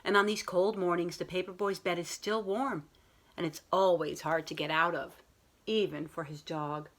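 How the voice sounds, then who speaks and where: Woman, slightly low voice, children's story read-aloud, school librarian, simple explanatory phrasing. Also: steady tone, moderate pace. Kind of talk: children's picture book read-aloud with chat to young listeners.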